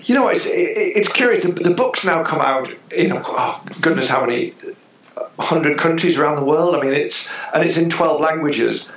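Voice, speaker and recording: A man's voice: fairly high pitch, normal speed, a bit bright, reverberant, dull audio. A man speaking as a business psychologist guest, explaining his ideas thoughtfully with hesitations. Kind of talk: interview answers.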